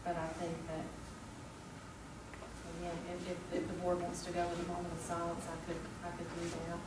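A woman's voice: on the low side, monotone. Hesitant woman in an echoey meeting room. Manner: hesitant, repeats words, measured. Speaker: school board member. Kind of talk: formal meeting discussion. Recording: echoey room, far from mic, background noise.

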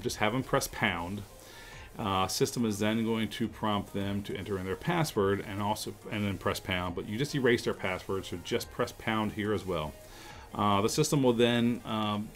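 Man, low voice, casual step-by-step screencast instruction, informal delivery, faint music behind. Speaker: tutorial host, telecom technician. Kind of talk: casual software screencast tutorial.

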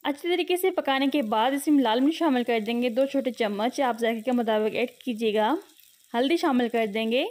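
Lady with a high voice walking you through a recipe, steady and patient. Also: fairly quick. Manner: steady instructive, repeats cooking steps. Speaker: cooking channel host. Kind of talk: step-by-step recipe voice-over. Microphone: voice-over, not seen speaking.

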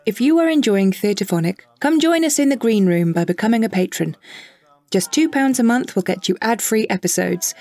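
A woman's voice: on the high side, animated, brisk, mellow, no room sound. A woman reading scripted promotional narration as a podcast announcer.

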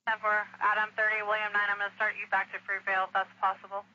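American male police dispatcher, high-pitched, monotone, clipped procedural delivery on muffled scanner radio. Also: racing, a little hiss or hum.

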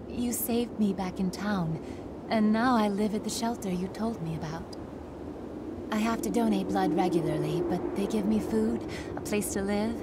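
An actress playing a timid young woman in a video game, speaking softly and hesitantly with gratitude. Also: mid-range voice, lightly acted. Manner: soft, hesitant and grateful. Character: timid young woman rescued, grateful and shy. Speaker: game character. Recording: game audio with loud background noise.

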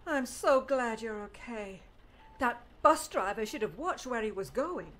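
A woman's voice: fairly high pitch, lively intonation, measured pace, a bit bright, low-quality recording. A woman playing a doting, fussing middle-aged mother in an audiobook drama, speaking with emotion.

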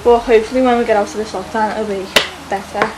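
A woman's voice: medium pitch, fairly even intonation, fast, very noisy. A teenage girl vlogging from her bedroom, talking in a chatty, rambling way and mumbling while she cooks. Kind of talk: casual vlog chat while cooking. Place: small bedroom indoors. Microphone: camera mic close up. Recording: loud music playing under the talk.

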